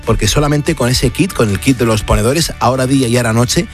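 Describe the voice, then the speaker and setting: A man's voice: medium pitch, fast talker, soft background music. A man hosting a late-night radio show, talking casually with frequent fillers and restarts. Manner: chatty, hesitant fillers and restarts. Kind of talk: casual on-air chat and live ad read. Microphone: studio broadcast mic.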